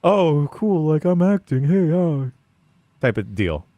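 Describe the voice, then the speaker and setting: A man with a deep voice co-hosting a podcast in casual, easygoing chat. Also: big pitch swings, slow pace, mellow, no echo. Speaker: podcast co-host.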